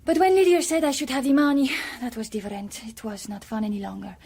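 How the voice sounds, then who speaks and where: A passionate, defiant young female impostor in a radio drama. The voice sits fairly high with a husky edge, and the phrases are earnest and deliberate. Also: lively intonation, warm tone. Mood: candid, rueful. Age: young adult.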